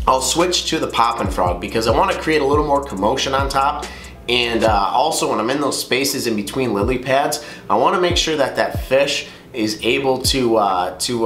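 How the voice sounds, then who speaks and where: A man with a slightly stuffy, nasal voice from a cold, talking in a laid-back, rambling way with lots of "um" and "uh". He sounds like he is in an echoey space, with faint music underneath. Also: mid-range voice. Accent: Upper Midwest American. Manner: relaxed rambling, many "um" and "uh". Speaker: fishing video host. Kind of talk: casual how-to talk to camera.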